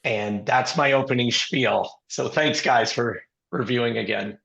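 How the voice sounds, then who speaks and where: Male podcast host, hoarse and gravelly, middle pitch, loose rambling delivery full of ums. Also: unhurried, a bit bright, rough recording. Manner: rambling, heavy with ums and uhs. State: hoarse, scratchy voice. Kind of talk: casual interview talk.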